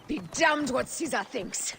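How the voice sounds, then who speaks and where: A woman's voice: high-pitched, expressive, a little slow, creaky, slight background noise, full character voice. Fierce, bitter warrior woman. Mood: angry, defiant. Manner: intense, defiant. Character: fierce, bitter, vengeful female warrior, betrayed wife. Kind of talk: dramatic video game acting. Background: loud dramatic music.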